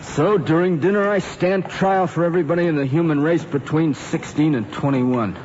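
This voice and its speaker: A man's voice: fairly high pitch, moderate pace, close to an everyday voice. An actor playing an eager, restless American teenage boy with an insistent, slangy way of talking in a family drama. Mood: sullen, wry. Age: young adult. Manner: insistent, excitable. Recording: muffled, noisy old drama recording.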